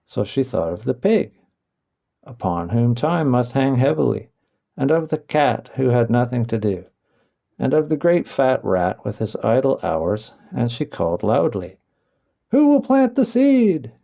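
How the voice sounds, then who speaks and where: Male storybook narrator, heavy gravelly creak, mellow tone, reading a scripted children's tale. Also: mid-range voice, lively intonation, a little slow. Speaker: audiobook narrator.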